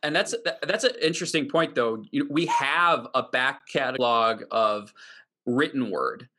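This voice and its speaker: Male host, bright mid-pitched voice, upbeat promotional panel moderator. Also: expressive, a little slow. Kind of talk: podcast hosting, moderating a panel.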